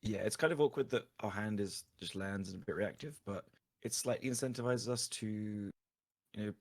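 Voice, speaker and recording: Male game coach on a stream, lowish voice going a bit hoarse, casual and analytical. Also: normal speed. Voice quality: slightly husky. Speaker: guest player coaching a card game stream. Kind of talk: casual live game commentary.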